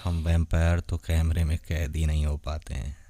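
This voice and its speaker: A man playing a tender, lonely, centuries-old vampire boyfriend in a romantic ASMR roleplay, speaking softly and intimately to the listener. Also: extremely low pitch, little pitch movement, no echo. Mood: wistful, gentle. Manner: soft, intimate, gentle, unhurried.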